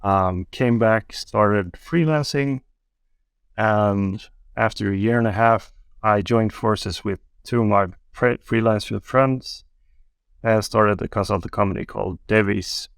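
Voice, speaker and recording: Man, low voice, smooth tone, close dry mic, relaxed podcast guest. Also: takes it slowly, no room sound. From Sweden. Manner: relaxed, wry storytelling with many ums. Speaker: guest, angel investor. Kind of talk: casual podcast interview.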